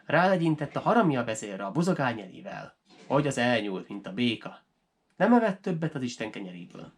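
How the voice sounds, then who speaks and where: A man narrating a folk tale as a storyteller, in an animated, dramatic way for language learners. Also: medium pitch, husky.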